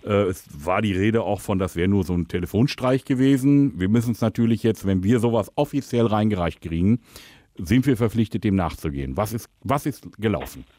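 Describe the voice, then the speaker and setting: Animated man hosting in a dry studio. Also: lowish voice, a little fast, no room sound. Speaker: radio prank show host. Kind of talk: casual chat and prank phone call.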